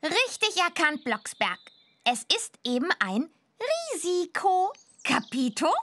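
A woman's voice: high voice, sing-song, slow pace, bright, forceful tone, heavily acted. A woman playing a cocky, teasing punk teenage witch girl in a children's computer game, with a boastful, slangy way of talking. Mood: sly, teasing. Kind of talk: animated children's game voice acting.

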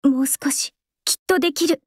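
An actress voicing a young female idol singer in a game, calm and composed, soft-spoken and earnest. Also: sits high, normal speed, dry recording, studio quality, natural voice. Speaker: game character voice. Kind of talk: game voice lines. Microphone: close studio mic, dry.

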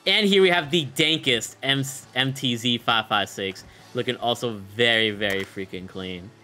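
A man's voice: mid-range voice, moderate pace, sharp tone, soft background music. A young man hosting a gaming video with casual, hyped, slangy commentary. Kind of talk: casual commentary on a game showcase.

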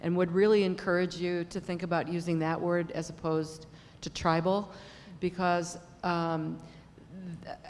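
A middle-aged woman, a professor on a conference panel, speaking in a measured, explanatory way. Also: lowish voice, flat delivery, darkish tone, slight background noise. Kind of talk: panel discussion answer. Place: large conference hall. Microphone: table mic on stand. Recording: long hall reverb.

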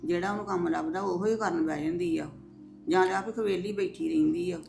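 A woman's voice: fairly low pitch, fairly even intonation, fast, vocal fry, darkish tone, slightly rough, soft background music, full character voice. A woman playing an older mother-in-law who reasons firmly and soothes her daughter-in-law in a family drama. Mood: reasoning. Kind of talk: domestic drama acting.

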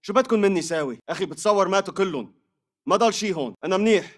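Very rapid Levantine militant man. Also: sits high, animated. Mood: matter-of-fact. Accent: Levantine Arabic. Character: uneasy male militant reporting to comrades. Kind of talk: video game battle chatter.

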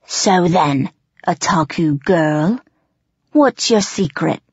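A woman's voice: lowish voice, lively intonation, slow, a little mellow, breathy edge, no echo, lo-fi sound, exaggerated voice. A woman voicing a menacing, gloating male goblin chief, a crude bully with rustic ungrammatical speech, in an audiobook. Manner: rustic ungrammatical dialect, snarling threats. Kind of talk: audiobook character voice.

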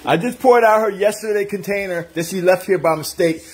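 A man's voice: high-pitched, brisk. A man hosting a casual family kitchen vlog, teasing and bantering playfully. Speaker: host filming a family cooking livestream. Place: home kitchen, indoors. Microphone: handheld phone camera. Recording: noisy handheld phone recording in kitchen.

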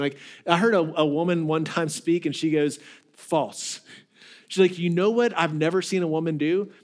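Man, 30s, pastor, conversational sermon, repeats words, slightly high voice, large hall with audience, headset mic.

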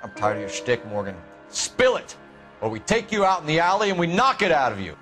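A man's voice: fairly high pitch, sing-song, a little fast, a bit bright, soft background music. A man playing a stern, determined police detective in a video game, pressing suspects in a firm, accusatory, commanding way. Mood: angry, threatening. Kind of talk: scripted video game acting.

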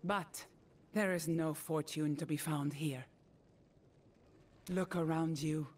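A woman's voice: sits low, fairly even intonation, slow pace, a little mellow. An actress playing a cold, proud, commanding female mercenary leader in a video game, stern and imperious. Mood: grim, dismissive. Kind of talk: video game character dialogue.

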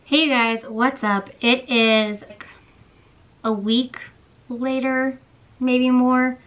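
Young woman vlogging from her bedroom, kinda hoarse, says um and like a lot, slow and easy. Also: high-pitched, steady tone, dull audio. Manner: chatty, many fillers like "um" and "like". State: hoarse after losing her voice. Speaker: beauty vlogger. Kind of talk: casual product review vlog. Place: small bedroom, indoors. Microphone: camera in front at close range.

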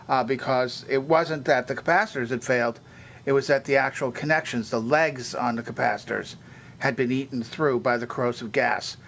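A man's voice: mid-range voice, vocal fry, fairly bright tone. An older man giving a methodical, hands-on explanatory tour as a repairer demonstrating equipment. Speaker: vintage electronics repairer and demonstrator.

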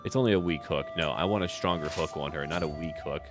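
A man's voice: extremely low pitch, fast. A man giving casual game commentary with light role-play, musing aloud in short, clipped remarks. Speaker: gaming YouTuber. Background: background music.

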